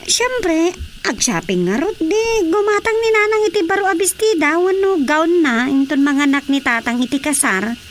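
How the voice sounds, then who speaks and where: A woman with an Ilocano accent playing a proud, excitable, chatty wife in a radio drama comedy. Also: extremely high pitch, normal speed, a little hiss or hum. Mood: boastful.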